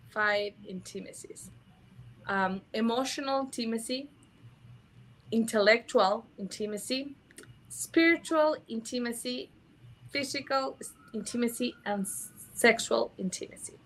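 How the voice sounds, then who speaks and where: A woman's voice: a little high, a little slow, fairly bright tone, poor audio. A young woman from Mexico with a Mexican Spanish accent, a native Spanish speaker, talking earnestly about intimacy as a guest, often restarting her phrases. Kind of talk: casual conversational interview.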